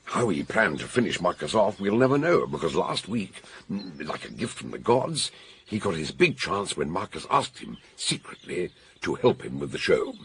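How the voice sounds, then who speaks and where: Husky, deep-voiced older detective. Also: male voice, very animated, normal speed, a bit bright, exaggerated voice. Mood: shrewd, explaining. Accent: British English. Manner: theatrical, expansive, authoritative explaining. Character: older male amateur detective, jovial, commanding and shrewd. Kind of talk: British radio mystery drama acting.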